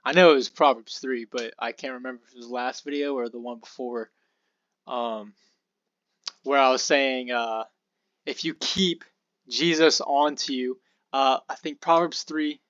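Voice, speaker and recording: Young male podcast host, a touch hoarse, loose and chatty, mid pitch, dry muffled home recording. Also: normal speed, dry recording. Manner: relaxed and rambling, with fillers and asides. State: slightly hoarse. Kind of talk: casual Bible study talk.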